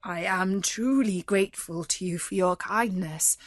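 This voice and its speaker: A meek merchant father from a fairy tale, played by a woman narrator. He speaks slowly and humbly, pleading and sorrowful, in a dry close sound. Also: mid-range voice, dry recording, natural voice. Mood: humble pleading. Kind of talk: storybook character voice.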